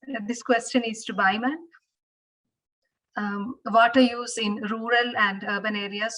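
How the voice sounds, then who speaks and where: A woman's voice: medium pitch, pushed and bright, low-quality recording. A woman moderating a panel discussion, polite and formal as she thanks speakers and puts questions.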